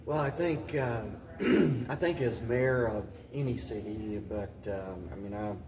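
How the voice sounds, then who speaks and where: An American man answering interview questions in a deliberate, halting way, often restating his phrases as he goes. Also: medium pitch, heavy background noise. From Paducah, Kentucky, United States. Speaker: mayor and interview guest.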